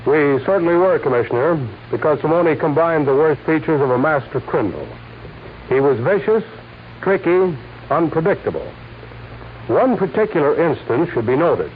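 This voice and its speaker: Actor as an earnest man, highish voice, tinny radio. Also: lively intonation, normal speed, lightly acted. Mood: grave. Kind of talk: 1940s radio drama acting. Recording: tinny 1940s radio recording with hiss.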